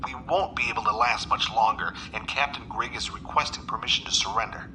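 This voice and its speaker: An actor playing a dutiful military lieutenant in a sci-fi audio drama, making formal, urgent reports. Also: male voice, fairly low pitch, fairly quick, lightly acted. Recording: echoey hall reverb, noisy ambience.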